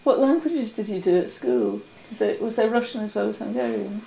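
A woman's voice: medium pitch, animated, brisk, vocal fry, mellow, poor audio. A woman interviewer asking brief, attentive questions in an oral history interview.

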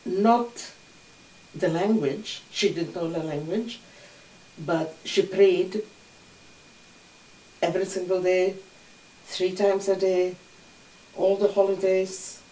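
Older woman telling her life story, calm and matter-of-fact, echoey room, mic kinda far off. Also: on the low side, takes it slowly, pauses often. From Hungary. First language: Hungarian. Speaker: interviewee. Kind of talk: oral history recollection. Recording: roomy echo, distant mic.

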